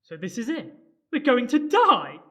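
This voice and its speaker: A woman's voice: a little high, wildly swooping pitch, a little slow. A woman playing a hapless, aggrieved human stowaway with dry sarcasm in a comic amateur radio drama. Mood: anxious, resigned. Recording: echoey room.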